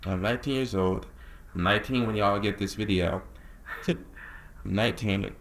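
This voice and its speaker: A man's voice: on the low side, fairly bright tone. Earnest young man vlogging, echoey room. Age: young adult. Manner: chatty and earnest, drawls some words slowly, then rushes others. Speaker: creative director and vlogger. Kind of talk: casual talking-head vlog.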